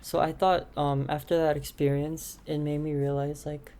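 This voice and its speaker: A woman's voice: low-pitched, little pitch movement, normal speed, warm tone. A young woman guest on a podcast, answering hesitantly with lots of "like" and "um". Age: young adult. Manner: hesitant, many fillers like "like" and "um". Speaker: podcast guest, aspiring teacher. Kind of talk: casual interview answers.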